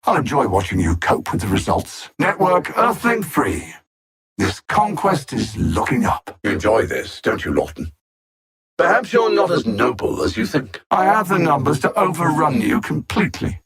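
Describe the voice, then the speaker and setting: A man playing a cold, superior alien machine conqueror in a video game, articulate and haughty, savoring his menacing taunts in a gravelly, husky voice. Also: a little high, wildly swooping pitch, moderate pace, a bit bright, exaggerated voice. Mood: smug menace. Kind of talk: theatrical video game villain acting.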